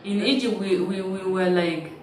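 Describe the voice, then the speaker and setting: A woman, telling her story in halting, simple English with frequent restarts. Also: medium pitch, a little mellow. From South Sudan. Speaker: interviewee, refugee. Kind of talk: personal testimony in an interview. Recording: roomy, distant mic, noisy ambience.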